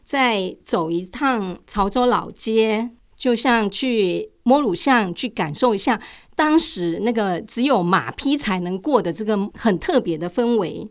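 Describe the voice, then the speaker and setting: An older woman with a Taiwanese Mandarin accent giving conversational travel recommendations as a radio co-host. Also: fairly high pitch.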